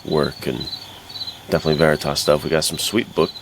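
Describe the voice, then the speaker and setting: A man's voice: very deep voice, fairly even intonation, brisk, noisy background. A man co-hosting a podcast, talking in an informal, rambling, conversational way. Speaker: podcast co-host. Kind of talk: casual podcast chat.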